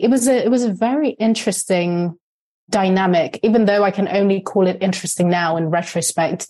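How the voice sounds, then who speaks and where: A woman in her thirties, a Shona speaker, speaks as a podcast guest. Her voice sits a little low and has a soft, mellow, unbright tone. She tells her story slowly and earnestly, in a reflective way. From Zimbabwe.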